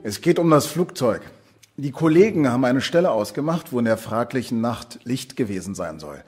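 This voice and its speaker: A man's voice: medium pitch, lively intonation, moderate pace, a little mellow, slightly rough, faint music behind. An actor playing a matter-of-fact male police colleague reporting in an audio drama.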